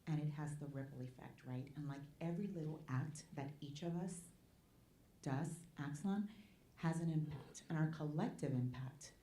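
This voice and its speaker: A low-pitched woman speaks. She is a Spanish giving an earnest talk at a forum and keeps asking her listeners "right?". She was recorded in an echoey event hall with faint background noise. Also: moderate pace, warm tone. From Puerto Rico. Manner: earnest, often asks the room "right?". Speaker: nonprofit president speaking at a panel. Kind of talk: public talk at a community forum.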